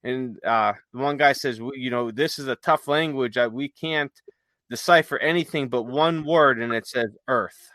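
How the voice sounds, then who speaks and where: A man with a bright, crisp-edged voice at a middle pitch, chatting as a podcast host. He rambles conversationally and haltingly as he recounts things. The sound is dry, with no room around him. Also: no room sound. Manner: halting, conversational, retells stories with quoted dialogue. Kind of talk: casual chat, rambling storytelling.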